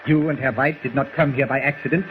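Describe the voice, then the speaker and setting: An actor playing a menacing, coldly polite German doctor villain in a formal, threatening manner in a 1940s radio drama. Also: male voice, mid-range voice, steady tone, fast, natural voice. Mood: smug threat. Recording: muffled, noisy old 1940s radio recording.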